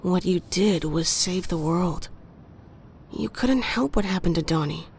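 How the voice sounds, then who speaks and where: A woman's voice: on the low side, expressive, measured pace, gravelly, darkish tone, slight background noise. A woman playing a caring young mutant fox in an audio drama, earnest and firm in her delivery. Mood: firm, insistent.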